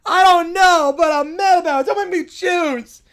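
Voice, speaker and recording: A woman's voice: very high voice, normal speed, voice pushed up. A woman voicing whiny, bratty, weepy small children in a cartoon-style skit, full of petulant complaint. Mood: upset, indignant.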